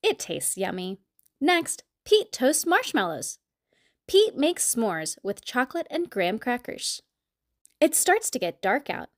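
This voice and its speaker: High-voiced woman reading a children's story. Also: no echo, studio quality. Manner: expressive, deliberate storytelling. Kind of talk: scripted read-aloud narration for young children.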